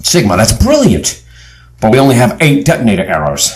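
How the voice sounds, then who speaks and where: A man's voice: medium pitch, huge pitch swings, brisk. A man playing a commanding, shrewd leader of an adventure team in an audio drama, authoritative and dramatic. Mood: decisive.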